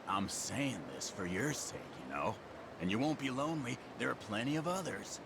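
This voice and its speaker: A man's voice: medium pitch, animated, moderate pace, vocal fry, background noise. An actor playing a smarmy, short-tempered male yakuza thug in a video game, pushy and swaggering. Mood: falsely friendly. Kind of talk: dramatic video game voice acting. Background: music.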